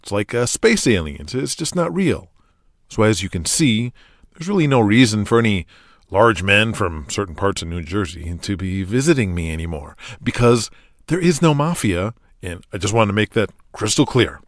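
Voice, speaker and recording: Low-voiced man introducing an audio drama. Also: sing-song, normal speed, no room sound, clean studio sound. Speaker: host and narrator of an audio drama podcast. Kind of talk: scripted show introduction with tongue-in-cheek asides.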